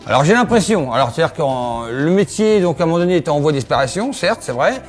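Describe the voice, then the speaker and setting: A man in his fifties from Normandy, a farrier, talks outdoors at his own horse yard. His voice sits a little high for a man, and soft music plays faintly under the talk. He answers steadily and keeps agreeing with "absolutely". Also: lively intonation, fast talker. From Normandy, France. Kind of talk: interview answers.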